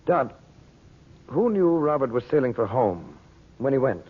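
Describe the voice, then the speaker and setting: An actor in an old radio drama playing an earnest, steady man who deliberates seriously. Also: mid-range voice, breathy edge. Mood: pondering, serious. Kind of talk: 1940s radio drama acting. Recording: muffled, phone-like old radio broadcast recording.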